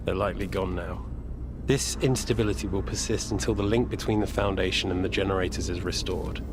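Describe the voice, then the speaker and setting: An actor playing a weary, resigned scientist with bitter irony, speaking in a measured, explanatory way in a video game cutscene. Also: male voice, low-pitched, steady tone, echoey room, noisy background, close to an everyday voice. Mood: subdued, grave.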